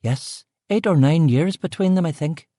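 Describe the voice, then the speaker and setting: A woman's voice: low-pitched, normal speed, dark tone, dry recording, clean studio sound, voice pushed up. A man voicing an annoyed middle-aged female cook with a West Belfast accent, giving short guarded answers. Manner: curt, guarded replies. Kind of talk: audiobook character voice.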